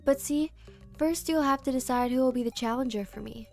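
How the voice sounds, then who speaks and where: A woman's voice: sits high, a little fast, a little mellow, soft background music. A woman voicing a smug, flippant male game master in a painting, teasing in slangy drawl. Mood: gleefully taunting.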